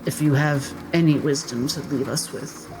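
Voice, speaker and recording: A woman's voice: sits low, moderate pace, over music, low-quality recording. A woman playing a tabletop game, narrating what her character does in the third person.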